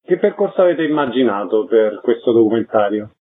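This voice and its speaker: A man presents a radio interview program. His voice sits at an average pitch, and he delivers prepared introductions and questions. The sound is dull and lo-fi. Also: lively intonation, brisk, husky. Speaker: radio host interviewing guests.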